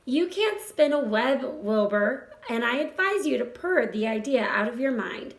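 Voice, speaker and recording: A woman's voice: on the high side, animated, a little slow. A woman voicing a wise, encouraging female spider in a storybook, patient and instructive. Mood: consoling, matter-of-fact. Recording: roomy echo.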